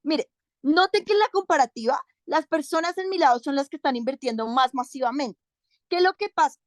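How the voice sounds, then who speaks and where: A woman's voice: sits high, lively intonation, brisk, no echo, clean studio sound. A young woman giving a competitive debate speech, emphatic and counting her points off one by one. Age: young adult.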